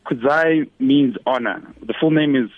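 A man's voice: medium pitch, a little slow, no echo. A man in his thirties from Zimbabwe, a radio interview guest talking casually with lots of fillers and restarts. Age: 30s. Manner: relaxed, many fillers and restarts. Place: radio studio. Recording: dry, phone-like radio studio sound.

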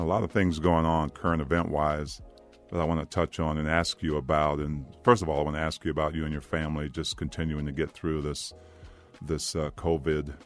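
A man hosting an interview podcast in a casual, chummy, conversational way. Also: rumbling low. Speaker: podcast host and sports broadcaster.

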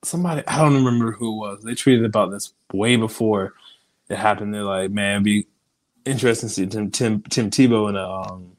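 A man co-hosting a sports podcast, talking in a relaxed, slangy way and calling his partner bro and dude. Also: fairly low pitch, a little fast. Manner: laid-back, slangy, says bro and dude. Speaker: podcast co-host. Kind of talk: casual sports chat.

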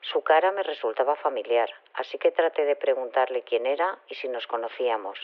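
A man's voice: medium pitch, fairly quick, natural voice. An actor playing a solemn man who tells an eerie first-person story in an audio drama. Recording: narrow phone-like sound.

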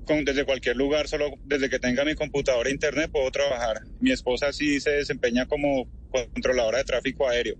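A Colombian man in his thirties talking by phone from a moving car, measured and thoughtful, with lots of fillers. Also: mid-range voice, fairly even intonation, a little hiss or hum. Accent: Colombian Spanish, lightly worn. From Colombia (Barranquilla). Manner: thoughtful, many fillers like "pues" and "o sea". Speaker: interviewee, translator in Ukraine. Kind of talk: phone interview while driving.

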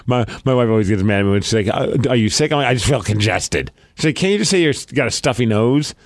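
A man in his thirties co-hosting a podcast, bantering in a casual, joking way with quick restarts. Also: mid-range voice, animated, no echo. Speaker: podcast co-host. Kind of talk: casual chat.

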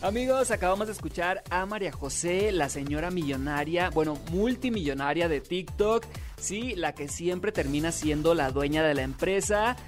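A Mexican man hosting a radio entertainment show, with a fairly high voice for a man. His talk is chatty and playful, and he rolls back to his catchphrases. Soft music plays under him. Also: lively intonation, moderate pace. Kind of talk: upbeat gossip radio hosting.

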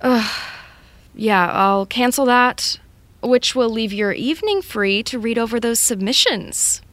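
A woman playing a brisk, efficient, wry assistant on a TV set in a naturalistic podcast drama. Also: on the high side, lively intonation, a bit bright, no echo. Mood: exasperated. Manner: brisk, teasing, organized. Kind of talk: naturalistic fiction podcast acting.

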